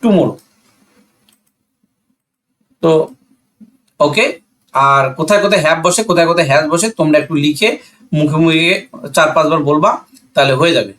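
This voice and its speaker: A Bangladeshi-accented man with a slightly high voice explains grammar like a classroom teacher. He is didactic and methodical, posing questions and answering them himself. Key points come back again in repeated phrases. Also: normal speed, long pauses, fairly bright tone.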